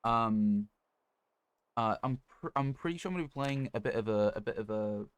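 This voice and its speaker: Low-voiced man, casual game streamer. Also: animated, normal speed, clean tone, dry recording. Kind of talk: casual livestream chat while gaming.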